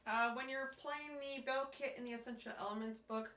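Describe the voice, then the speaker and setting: Hoarse female music teacher, slightly high voice, patient and step-by-step, recorded in an echoey room. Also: fairly even intonation, fairly quick, sharp tone. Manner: patient, step-by-step explaining with fillers. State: hoarse, losing her voice. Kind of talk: instructional demonstration.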